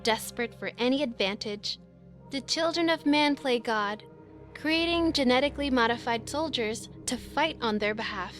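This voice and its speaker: A woman playing a solemn narrator of a far-future war in a video game, speaking in a measured way. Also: fairly high pitch, fairly bright tone, soft background music, natural voice. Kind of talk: scripted dramatic narration.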